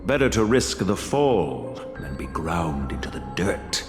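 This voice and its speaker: An actor playing a courteous, composed and ambitious corporate heir in a video game, speaking in a polite, theatrical way. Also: male voice, deep voice, big pitch swings, unhurried. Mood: suave, poised. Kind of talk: dramatic video game voice acting. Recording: long reverb, music behind. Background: music.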